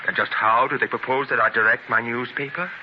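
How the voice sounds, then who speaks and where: Actor as determined ailing publisher, scratchy radio recording. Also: male voice, medium pitch, fairly even intonation, brisk, highs cut off, natural voice. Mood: irritated, pained. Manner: declamatory, emphatic. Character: ailing, determined, half-blind newspaper publisher, forceful and proud. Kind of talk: 1930s radio drama acting. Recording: scratchy, noisy 1930s radio transcription.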